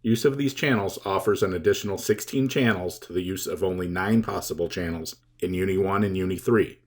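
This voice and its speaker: Male tutorial presenter, slightly deep voice, reading scripted how-to material in a measured, methodical manner. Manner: measured, step-by-step explaining. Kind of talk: scripted instructional narration.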